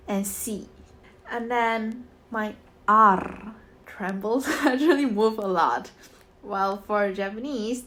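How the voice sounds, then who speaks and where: A woman's voice: a little high, fairly bright tone. A young woman chatting casually as a vlogger, laughing often between her sentences. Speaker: former voice acting student vlogging. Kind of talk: casual chat. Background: background music.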